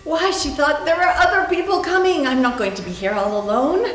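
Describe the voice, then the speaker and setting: A woman's voice: high voice, brisk, a little hiss or hum, pitched above the performer's own voice, close to an everyday voice. A woman playing a shy, anxious, lonely female houseguest, earnest and nervous, in a staged reading. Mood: relieved, excited. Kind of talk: staged readers theater. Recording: distant mic in echoing room.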